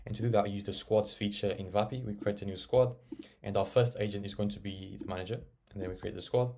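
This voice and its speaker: A man narrating a casual software tutorial, explaining and thinking aloud as he works. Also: lowish voice, fairly even intonation, quick pace, a little hiss or hum. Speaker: tech tutorial presenter.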